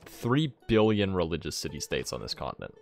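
A man chatting casually with friends as he streams a game, animated and jokey, often breaking into laughter. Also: low voice, fairly quick, gravelly, soft background music. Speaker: gaming streamer reading out donations. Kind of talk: casual chat while playing a strategy game with friends.

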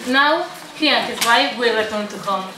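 A woman's voice: on the high side, normal speed. Young woman narrating in an echoey room. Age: young adult. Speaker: student narrator. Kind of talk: scripted story narration. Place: indoor room at a day centre. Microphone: far camera. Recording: noisy echoey room, distant camera mic.

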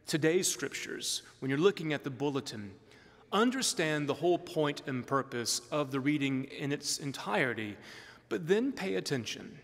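A middle-aged man delivers a homily as a priest, his voice set at an average male pitch. He explains in measured phrases and leans on key words for emphasis. The sound carries a long reverberant tail from a big stone church. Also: fairly bright tone. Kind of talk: sermon. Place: large stone church, from a pulpit.

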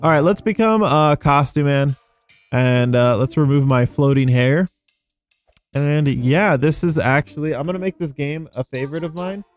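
Gaming host, young man, somewhat high-pitched, casual thinking-aloud delivery over faint music. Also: moderate pace. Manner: rambling, thinking aloud, slangy. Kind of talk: casual gameplay commentary. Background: background music.

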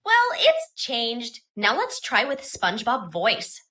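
A woman presenting software in a scripted tutorial narration. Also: a little high, huge pitch swings, takes it slowly.

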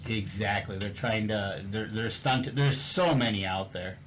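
A man's voice: lowish voice, unhurried, a bit bright, slight background noise. A man casually recounting a fishing trip over photos, rambling with many fillers and repeated words.

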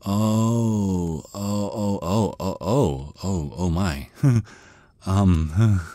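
A man acting out a shy, low-voiced stammering vampire. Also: extremely slow, mellow, no echo. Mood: awkward, flattered. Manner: stammering, hesitant, flustered. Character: shy, nervous, smitten male vampire on a first date. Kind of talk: ASMR roleplay.

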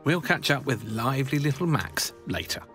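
A man's voice: mid-range voice, expressive, moderate pace, fairly bright tone, soft background music. A man narrating a documentary in a scripted voice-over, with dry wry asides.

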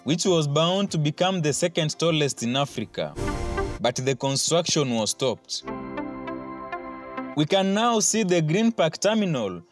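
A man's voice: fairly high pitch, very animated, measured pace. A man narrating a sightseeing tour as a travel vlogger. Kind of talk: tour guide narration over video. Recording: loud music under the voice. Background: loud background music.

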